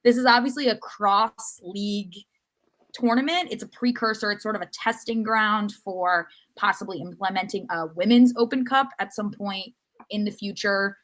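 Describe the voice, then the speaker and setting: A woman's voice: mid-range voice, normal speed, a bit bright. A woman hosting a podcast, chatting casually about sports in an enthusiastic, talkative way. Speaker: podcast host.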